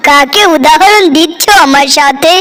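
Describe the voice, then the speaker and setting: A woman's voice: high-pitched, moderate pace, a bit bright. A woman voicing a good-natured, contented farmer husband in a cartoon, with a coaxing, placating manner. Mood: puzzled. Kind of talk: cartoon voice acting in dramatic dialogue. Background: background music.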